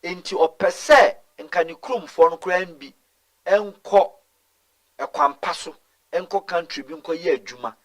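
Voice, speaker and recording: A man's voice: sits high, lively intonation, measured pace. A middle-aged Ghanaian man answering in an interview, talking emphatically and mixing in Twi, with stumbles and repeated words. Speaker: guest.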